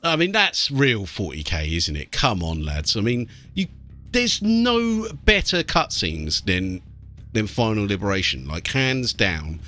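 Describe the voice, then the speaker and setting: A man's voice: fairly low pitch, very animated, a little slow, sharp tone. A British man giving casual gameplay commentary with blokey, sweary banter. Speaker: let's play host. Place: small warm room indoors. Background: game music.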